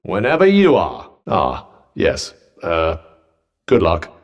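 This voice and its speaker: A scornful male demon trapped in a talking cuff, a video game companion with a husky, slightly breathy voice. His delivery is dry and mocking, and the pitch swings widely through every barb. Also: wildly swooping pitch, slow pace, highs cut off, exaggerated voice.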